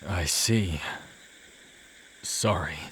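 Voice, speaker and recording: An actor playing a gruff, guilt-ridden killer in an audio drama, blunt and awkward in the way he talks. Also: low voice, a bit bright, heavily acted. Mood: awkward, tender. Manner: blunt, awkward, halting when flustered. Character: gruff, guilt-ridden male ex-murderer, shy in love. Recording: music under the voice. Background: music.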